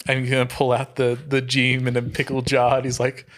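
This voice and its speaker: A man's voice: mid-range voice, completely flat delivery, fairly quick, fairly bright tone. A man playing a tabletop game, casually improvising what his character does in a hesitant, rambling, amused way. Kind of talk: casual improvised roleplay chat.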